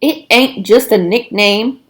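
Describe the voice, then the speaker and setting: A woman's voice: on the high side, slow pace. Woman as a tough, cocky teenage camp boy.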